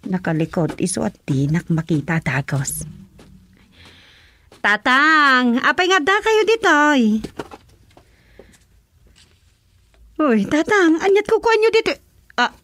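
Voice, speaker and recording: A woman's voice: high voice, expressive, a little slow, a bit bright. A woman acting a giggly, teasing young village daughter with an Ilocano accent in a radio comedy drama. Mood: puzzled.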